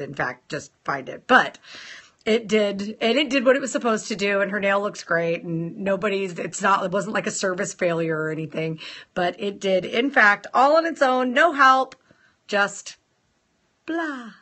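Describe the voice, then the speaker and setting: Bright-voiced chatty woman with a sore throat. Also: mid-range voice, animated, normal speed. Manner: chatty, playful, greets viewers in a sing-song lilt. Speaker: nail salon owner hosting a live stream. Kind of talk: casual live-stream chat with viewers.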